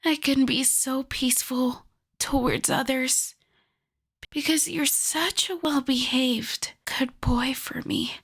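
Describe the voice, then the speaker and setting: A woman voicing a possessive, doting yandere wolf girl in an intimate ASMR roleplay, soft and often whispered, sweet with a menacing edge. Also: high voice, fairly even intonation, husky, heavily acted. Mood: adoring, cooing. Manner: slow, cooing, lingering over endearments.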